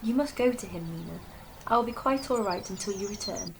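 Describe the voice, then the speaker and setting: A woman's voice: medium pitch, lively intonation, fairly quick. A woman playing a frail, sweet, weary young Victorian lady in a radio drama. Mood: gentle. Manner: weary, gentle. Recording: clearly noisy audio.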